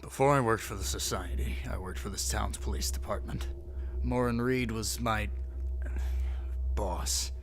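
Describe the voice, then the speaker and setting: A man playing a world-weary, gruff former small-town detective in an audio drama, with a dry, deadpan delivery. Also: fairly low pitch, measured pace, long reverb tail, soft background music. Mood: wry, reflective. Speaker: narrator and lead actor in an audio drama. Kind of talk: noir-style audio drama narration and dialogue.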